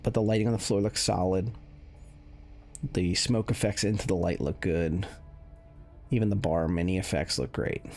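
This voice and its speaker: A man's voice: low-pitched, fairly even intonation, normal speed, pauses often, a little mellow. A man giving casual, unscripted commentary as a game reviewer, explaining things in a meandering, hesitant way. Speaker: video game reviewer running benchmarks. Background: music under the voice.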